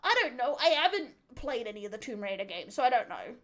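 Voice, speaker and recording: High female voice, Australian accent, casual streamer reaction, giggly, sweary, joking. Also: very animated, quick pace, fairly bright tone. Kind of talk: casual live reaction chat.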